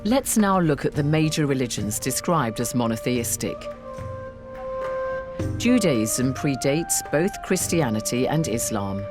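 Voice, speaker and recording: A woman presenting a documentary, narrating in a measured way and asking interview questions. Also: extremely low pitch, big pitch swings, over music.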